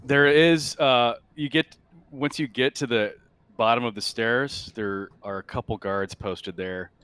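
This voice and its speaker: A man narrating a tabletop sci-fi game as game master, casually describing scenes in a halting way. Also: mid-range voice, steady tone, fairly bright tone, clear, resonant tone.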